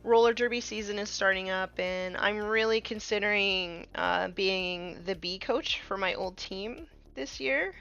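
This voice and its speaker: A woman's voice: medium pitch, a little slow, creaky, few pauses, a bit bright, clear, resonant tone, dull audio. A woman in her thirties chatting casually while painting miniatures on a live stream, with many fillers, sometimes trailing off to a murmur.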